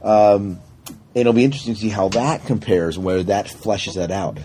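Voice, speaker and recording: A man's voice: on the low side, fairly even intonation, moderate pace, dark tone, slight background noise, low-quality recording. A man co-hosting a podcast, chatting casually about science fiction. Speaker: podcast co-host discussing sci-fi. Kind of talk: casual chat.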